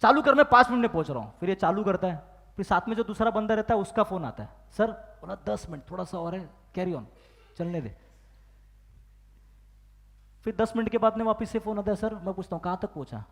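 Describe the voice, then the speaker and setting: High-voiced trainer in a big hall. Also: male voice, animated, brisk. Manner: asks the crowd questions and demands loud answers; acts out phone calls and excuses in dialogue. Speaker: business trainer. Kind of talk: motivational training talk to an audience. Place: large hall with live audience. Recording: long hall reverb.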